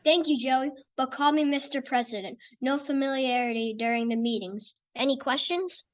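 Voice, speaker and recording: A woman's voice: sits high, steady tone, moderate pace, close to an everyday voice. A woman playing a bossy, self-important young boy who runs a kids' club in a radio comedy recreation. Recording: distant, noisy recording.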